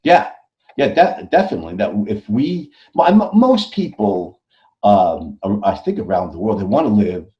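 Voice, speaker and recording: A man's voice: fairly low pitch, sing-song, moderate pace. An American man speaking as an interview guest, emphatic, often stammering and repeating words as he goes. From United States. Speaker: author guest on a podcast. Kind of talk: conversational interview. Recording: dull lo-fi audio.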